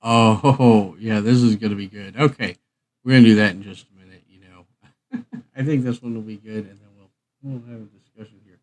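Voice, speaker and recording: A middle-aged man hosting a livestream, chatting casually and thinking aloud in an unhurried, rambling way. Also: on the low side, fairly even intonation, darkish tone. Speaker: livestream host.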